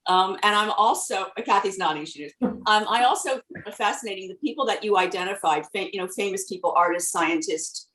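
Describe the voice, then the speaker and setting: A woman's voice: mid-range voice, fairly quick, fairly bright tone. Chatty woman, roomy distant mic. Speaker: guest participant. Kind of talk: casual video call introduction.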